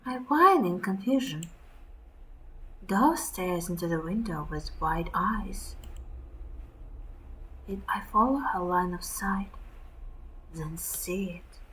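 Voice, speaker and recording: A woman reading a story aloud in a dramatic, expressive and deliberate first-person narration. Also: on the low side, slight background noise, poor audio. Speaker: streamer reading a visual novel aloud.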